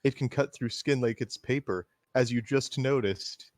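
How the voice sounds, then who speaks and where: A man's voice: mid-range voice, normal speed, no room sound, natural voice. Guy voicing a shy knife-obsessed girl, giggly, dry close mic. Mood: soft, teasing. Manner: laughing, breaking character. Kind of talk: impromptu staged reading.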